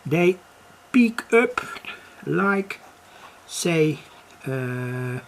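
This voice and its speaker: A hobbyist giving an unscripted demo at home, explaining piece by piece in a halting way with frequent pauses. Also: mid-range voice, big pitch swings. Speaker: hobbyist demonstrator. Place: home, indoors near a kitchen. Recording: noisy room with radio static. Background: radio noise.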